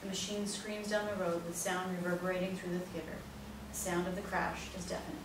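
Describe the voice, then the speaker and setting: A woman's voice: on the low side, level tone, fairly quick. A woman reading stage directions as scripted narration for a live play reading. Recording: very echoey room, distant mic, noisy.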